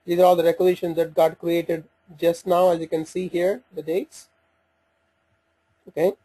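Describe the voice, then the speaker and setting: Husky, mellow man demonstrating software. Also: on the high side, level tone, rough recording. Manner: halting, thinking aloud while operating the screen. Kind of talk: informal step-by-step software walkthrough. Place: voice-over for a screen recording.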